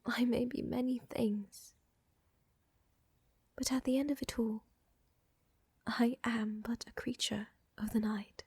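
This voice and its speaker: A woman plays a refined vampire countess, gently dominant and devoted to her lover. Her voice sits a little high, soft and very breathy, often slipping into a whisper. She takes her time with every phrase, close to the microphone in an ASMR roleplay. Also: steady tone, takes it slowly, pauses often, dry recording, full character voice. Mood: wistful, earnest. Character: tender, seductive female vampire countess, protective lover. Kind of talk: intimate ASMR audio roleplay. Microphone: close, dry microphone.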